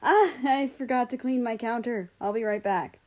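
Flustered, higher-pitched apologetic young guy played by a woman. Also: normal speed, darkish tone, lightly acted. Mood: hurried. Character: apologetic, clumsy male American actor.

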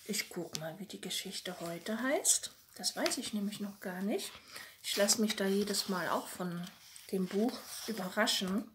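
Slow, soft-spoken woman storyteller. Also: medium pitch, noisy background. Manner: soft, gentle, addressing children directly. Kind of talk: bedtime story reading for children. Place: small room at home. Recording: roomy, echoey home recording.

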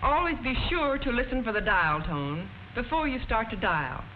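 A woman narrating as a prim, patient telephone instructor in a 1940s educational film, measured and didactic, on an old muffled soundtrack. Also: a little high, very animated, slight background noise. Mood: patient, instructive.

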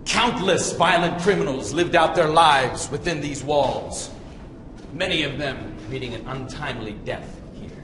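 A man's voice: mid-range voice, lightly acted. Man playing a melodramatic young ghost hunter, echoey hall. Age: young adult. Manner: melodramatic, bombastic. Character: cocky, theatrical young male ghost hunter. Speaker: paranormal show host. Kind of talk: scripted ghost-hunting presenter piece. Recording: echoey hall with noisy hiss.

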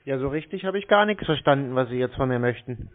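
A man talking on the phone in a deadpan, obtuse, needling manner, baiting a caller. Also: medium pitch, quick pace. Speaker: prank call recipient baiting scammers. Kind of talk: phone conversation. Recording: narrow phone-call sound.

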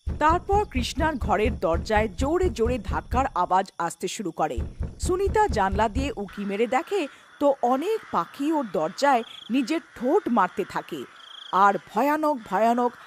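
A woman's voice: fairly high pitch, big pitch swings, moderate pace, slight background noise. A woman narrating a scripted cartoon story as its storyteller.

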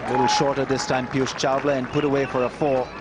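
A male cricket commentator giving measured analysis of the bowling on live TV. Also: mid-range voice, little pitch movement. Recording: loud crowd and noise, outdoor broadcast. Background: loud crowd and ground noise.

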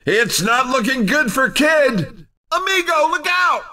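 An excitable arcade racing announcer, pitched extremely high and swinging widely in tone. He is cheeky and punchy, firing off playful exclamations at a measured pace as a video game race commentator. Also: shouting, far from the mic, exaggerated voice. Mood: urgent warning.

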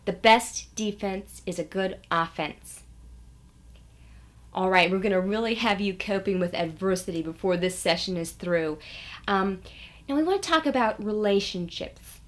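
A woman playing a brisk, condescending seminar leader in a satirical radio lecture, coaxing her listeners. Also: mid-range voice, lightly acted. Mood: smug instruction. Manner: patronizing, coaxing the audience.

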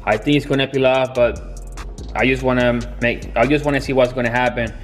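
A young man talking casually in a garage workshop, filming himself on a handheld camera, halting and restarting as he explains his car work. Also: mid-range voice, steady tone, soft background music. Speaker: car builder.

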